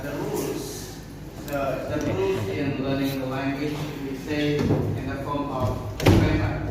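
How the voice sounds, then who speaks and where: A man giving a classroom presentation as a student teacher, hesitant, with many fillers and restarts while he searches for words. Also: mid-range voice. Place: large classroom, indoors. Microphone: far camera at back of room. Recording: echoey classroom from far off, noisy.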